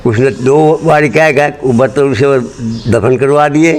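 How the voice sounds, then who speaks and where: An elderly man with a Haryanvi accent reminisces in an interview, speaking at a middling pitch. His telling is blunt, earthy and rambling. The location sound is clearly noisy. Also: measured pace. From Rohtak, Haryana, pre-partition India. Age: 80s or older. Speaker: interviewee, partition migrant.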